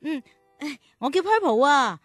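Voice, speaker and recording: A woman playing a patient class teacher, gently chiding and explaining things in a children's radio drama. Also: high-pitched, big pitch swings, unhurried, soft background music. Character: patient young woman class teacher guiding cartoon calves.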